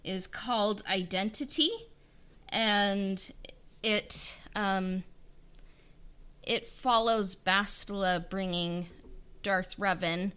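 A woman chatting casually in an interview, pausing to search for her words. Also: medium pitch, steady tone, sharp tone, clean tone. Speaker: podcast co-host, audio drama writer being interviewed.

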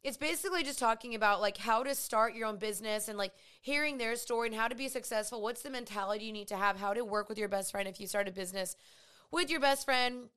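Woman host, raspy, higher register, smooth and clear underneath, chatty and gushing. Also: fast, fairly bright tone. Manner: chatty, gushing, many fillers. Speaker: podcast host. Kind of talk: casual podcast chat.

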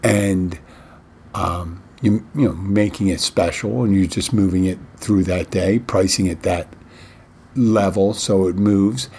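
A middle-aged man hosting his bartending podcast in a casual, rambling way, his voice a little rough from a cold he is getting over. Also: sits low, steady tone, a little mellow, slight background noise. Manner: rambling, halting, many restarts. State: recovering from a head cold, slightly rough voice. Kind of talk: casual solo podcast talk.

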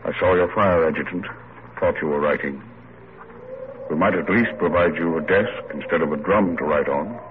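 Weary army general, deep, stately, solemn radio drama, narrow tinny sound, clear hiss and noise. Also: male voice. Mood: grave, kindly. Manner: grave, measured, stately. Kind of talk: old-time radio drama acting. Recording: tinny, noisy vintage radio recording.

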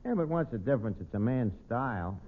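An actor playing a brash tavern manager, slangy and stumbling into pompous malapropisms, in old radio comedy. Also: male voice, mid-range voice, animated, fast, lightly acted. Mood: cocky. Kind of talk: 1940s radio comedy acting. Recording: noisy, phone-like old radio recording.

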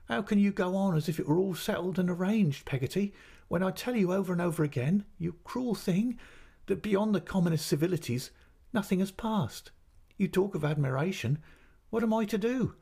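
A man's voice: fairly high pitch, brisk, hardly any pauses, dark tone, voice pushed up, lightly acted. A man voicing a petulant, self-pitying young mother in a storybook reading, with plaintive, dramatic protests. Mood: tearful indignation.

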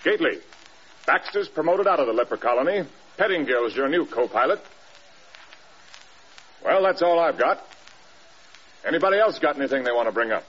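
Husky, commanding American general. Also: male voice, a little high, animated, normal speed, long pauses, fairly bright tone. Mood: stern. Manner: clipped, commanding, blunt. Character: stern, demanding air force general, man, unyielding commander. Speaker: actor in a radio drama. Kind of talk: classic American radio drama acting. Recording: dull, band-limited old radio recording.

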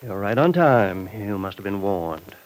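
A man's voice: on the low side, expressive, measured pace. An actor in an old-time radio drama playing a cold, mysterious, menacing man who speaks in a clipped, domineering way. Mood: cool, commanding. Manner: clipped, controlled, coolly domineering.

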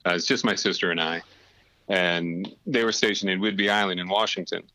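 A man's voice: deep voice, steady tone, brisk, sharp tone, no room sound, poor audio. A middle-aged man, a podcast guest, telling his life story in casual chat, rambling and full of fillers.

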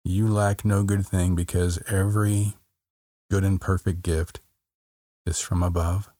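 Casting: man with a very low voice, unhurried, gentle meditation guide, dry close sound. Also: no room sound. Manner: slow, soft, calm, repeats phrases with long pauses.

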